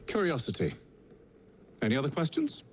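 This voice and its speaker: A man's voice: medium pitch, wildly swooping pitch, normal speed. An actor playing a proud middle-aged Templar father in a video game, British, with a dry, sardonic, eloquent delivery. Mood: dry, commanding. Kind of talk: video game cutscene acting. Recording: faint background ambient noise.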